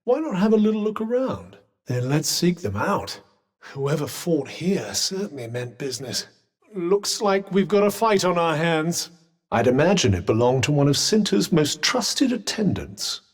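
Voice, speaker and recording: A man's voice: fairly high pitch, very animated, unhurried, slightly rough, heavily acted. An actor playing a sardonic male companion in a video game, talking in a dry, teasing, mock-polite way. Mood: wry. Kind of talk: video game character voice lines.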